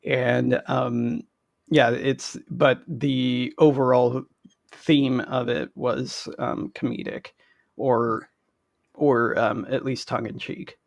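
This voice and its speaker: A man with a gravelly creak in his voice chats as an interview guest. He phrases things haltingly and deliberately, in a very dry recording. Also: medium pitch, fairly even intonation, no room sound. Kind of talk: casual anecdotal chat.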